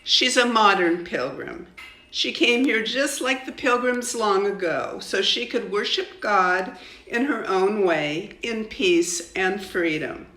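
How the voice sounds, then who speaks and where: An older woman voicing a firm, kindly female schoolteacher from a children's storybook, in an emphatic, measured way. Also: medium pitch, expressive, bright, forceful tone, echoey room, voice pushed up, rough recording. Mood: stern, loud.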